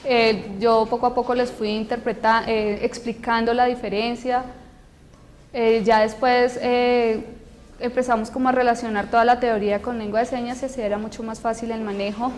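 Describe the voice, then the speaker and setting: A woman interpreting aloud for an interviewee, halting with many fillers and restarts. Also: a little high, little pitch movement, darkish tone, big-room sound. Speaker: sign language interpreter voicing a deaf student. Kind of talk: interview answer, spoken interpretation.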